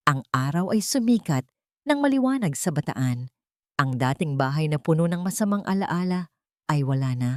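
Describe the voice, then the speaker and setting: A woman's voice: low voice, dark tone, no room sound, clean studio sound. A woman reading scripted, dramatic first-person narration for a romance audio drama. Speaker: story narrator.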